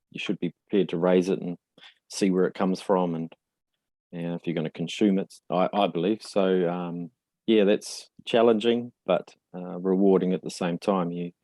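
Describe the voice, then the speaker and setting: A man in his forties with a New Zealand accent, a guest speaking in a reflective, halting way with many fillers. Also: low-pitched, mellow, no room sound. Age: 40s. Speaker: podcast guest. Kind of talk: casual interview conversation.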